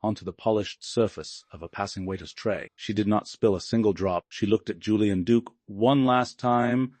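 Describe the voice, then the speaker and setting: A man narrates dramatic fiction in a low-pitched voice, captured dry and close with no room around it. He delivers the scripted prose as an audiobook storyteller. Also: normal speed, darkish tone, dry recording.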